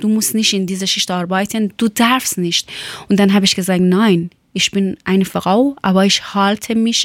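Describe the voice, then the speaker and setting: Slow-talking woman with a Persian accent. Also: medium pitch, dry recording, clean studio sound. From Iran. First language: not German. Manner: halting, searching for words, repeats herself. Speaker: former teacher telling her life story. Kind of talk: personal spoken account.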